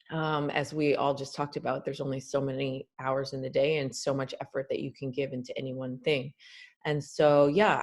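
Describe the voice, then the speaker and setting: A woman from Canada, a guest doctor answering webinar questions on a video call, earnest and explanatory with frequent fillers. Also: low-pitched.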